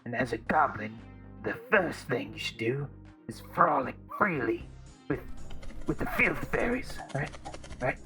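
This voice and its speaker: An actor voicing an eager, dim male goblin phoning a comedy show, strained and stuffy, halting and repeating himself. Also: mid-range voice, very animated, sharp tone, faint music behind. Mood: earnest. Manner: halting, repetitive, seeking approval. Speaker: caller.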